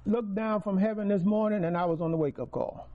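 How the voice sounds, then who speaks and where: A man's voice: high-pitched, brisk, phone-quality sound. Emphatic sixty-something man preaching. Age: 60s. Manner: emphatic, repeats key words, rises in volume on stressed phrases. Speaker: speaker giving testimony to a congregation. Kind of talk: preaching testimony.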